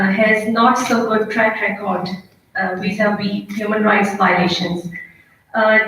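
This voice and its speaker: A woman reporter with a Pakistani accent puts a formal, measured question at a press briefing. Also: medium pitch, a bit bright. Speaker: news agency reporter. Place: press briefing room indoors. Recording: very echoey room, distant mic, faint noise.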